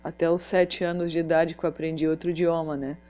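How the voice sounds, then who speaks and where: A woman talking in a personal vlog, halting with pauses and restarts, Portuguese not her first language. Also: deep voice, level tone, warm tone. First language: another language than Portuguese. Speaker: vlogger.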